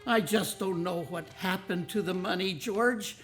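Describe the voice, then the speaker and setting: A man's voice: high voice, measured pace, fairly bright tone, soft background music. A man playing an absent-minded, flustered old uncle in a radio play. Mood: distraught. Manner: dithering, stammering, taunting when cheerful.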